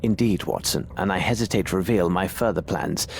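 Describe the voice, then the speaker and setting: Composed, shrewd Victorian detective for a game. Low pitch, formal deliberate delivery, slight background noise. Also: male voice, gravelly. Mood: grave, resolute. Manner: formal, deliberate, theatrical phrasing. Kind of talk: video game dramatic acting.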